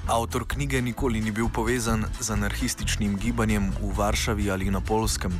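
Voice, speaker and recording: Male narrator, lowish pitch, scripted documentary read with clear music bed underneath. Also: little pitch movement, fairly quick. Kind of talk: scripted radio-feature narration.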